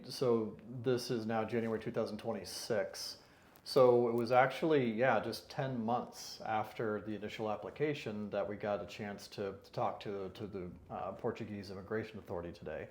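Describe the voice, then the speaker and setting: A man's voice: on the low side, normal speed, a little mellow, roomy sound. A man being interviewed in an office, answering casually with many fillers and restarts. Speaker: interviewed client. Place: consulting firm office.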